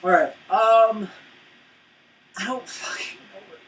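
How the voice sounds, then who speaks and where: A man's voice: sits high, takes it slowly, fairly bright tone, low-quality recording. A young man co-hosting a casual movie review, jokey and profane. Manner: jokey, profane, acts out scenes. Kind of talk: casual banter.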